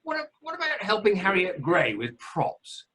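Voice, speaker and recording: Radio play director played by a man: luvvie, apologetic, stammering, husky, bouncy pitch. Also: huge pitch swings, normal speed, sharp tone, exaggerated voice. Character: affable, evasive luvvie theatre director. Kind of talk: amateur radio play acting.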